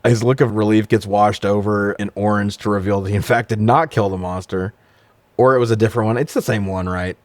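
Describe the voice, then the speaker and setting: A man's voice: on the low side, fairly even intonation, fairly quick, no room sound. An American man co-hosting a casual comedic movie recap, sarcastic and freely swearing. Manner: profane, sarcastic. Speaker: podcast co-host.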